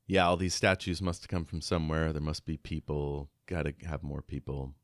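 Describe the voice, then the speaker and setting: A man's voice: a bass voice, little pitch movement, a little fast, no room sound, clean studio sound. A man co-hosting a podcast, chatting casually about a book. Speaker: podcast co-host. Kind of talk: casual book discussion chat.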